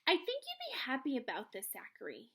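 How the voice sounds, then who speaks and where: A woman's voice: high voice, huge pitch swings, brisk, slightly rough, full character voice. Woman playing a stern, insistent school principal. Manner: insistent, authoritative.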